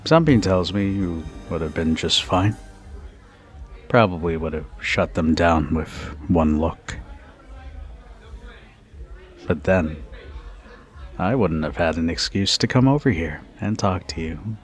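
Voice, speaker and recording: Man playing a deep, slow-talking flirty bartender. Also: faint music behind. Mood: playful, flirty. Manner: teasing, unhurried, with soft chuckles and pauses. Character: confident protective male bartender, flirty and teasing. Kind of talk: ASMR roleplay, intimate second-person monologue.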